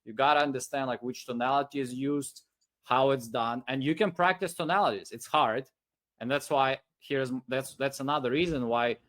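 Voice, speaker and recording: A man with non-native English talks off the cuff, coaching listeners on sales. He circles back and repeats himself as he goes, leaning on his points with emphasis. His voice sits at an ordinary male pitch. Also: moderate pace, a bit bright. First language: non-English first language. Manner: rambling, repetitive, emphatic. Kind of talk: unscripted talk giving sales advice.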